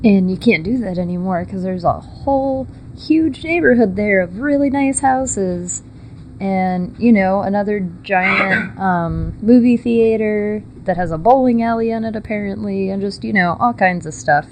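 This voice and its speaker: A young woman chats as a podcast guest, loose and laughing often as she tells stories. Her voice sits at an average pitch with faint room noise behind it. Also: normal speed, darkish tone. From Texas. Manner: chatty, laughs often, loose storytelling with fillers. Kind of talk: casual interview.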